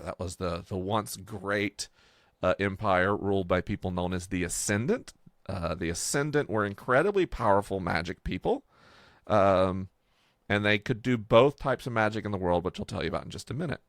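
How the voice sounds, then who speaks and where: A man co-hosting a podcast, explaining casually and off the cuff in a halting, digressive way. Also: fairly low pitch, dry recording, studio quality. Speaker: podcast co-host and world builder.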